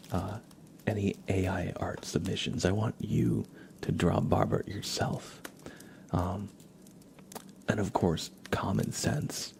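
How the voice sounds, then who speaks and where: A soft-spoken man hosting an ASMR livestream, chatting casually and often dropping into a whisper. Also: low voice, vocal fry, a little hiss or hum. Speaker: livestream host.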